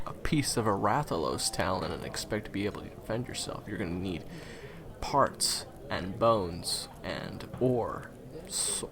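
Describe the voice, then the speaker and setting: Gravelly, low-voiced friendly guide played by a man. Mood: helpful, explaining. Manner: casual, hesitant asides, explanatory. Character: friendly, chatty male guide to monster hunters, reassuring. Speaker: voice roleplay performer. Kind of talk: conversational audio roleplay, speaking to the listener. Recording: crowd chatter behind the voice. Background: busy crowd ambience.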